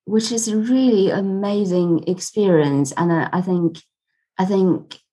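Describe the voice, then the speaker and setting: A woman in her twenties from China, Mandarin native, chatting as an interview guest, halting and repeating herself. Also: medium pitch, mellow, breathy edge. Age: 20s. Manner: halting, repeats words, laughs often. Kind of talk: casual interview chat. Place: home room with a cat.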